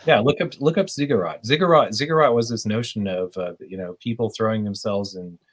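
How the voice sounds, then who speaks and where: A man's voice: on the low side, fairly quick. A man talking at length in a casual podcast discussion, rambling with many restarts.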